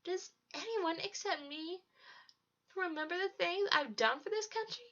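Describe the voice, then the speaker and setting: A woman's voice: very high-pitched, a little slow, a bit bright, voice pushed up, heavily acted. A woman voicing a vain, melodramatic young storybook queen in a theatrical, emphatic manner. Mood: indignant. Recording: dull lo-fi home recording.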